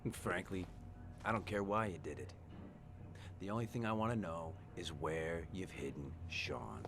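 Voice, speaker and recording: An actor playing a brutal, hot-tempered police detective in a video game, menacing, explosive and foul-mouthed. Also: male voice, sits low, moderate pace, noisy background. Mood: cold menace. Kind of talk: dramatic video game acting.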